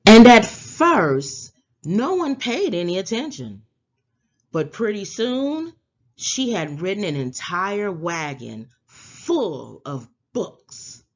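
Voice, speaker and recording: Animated woman reading a storybook, unhurried. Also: mid-range voice, dull audio. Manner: expressive, theatrical storytelling. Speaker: read-aloud presenter. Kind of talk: children's storybook read-aloud.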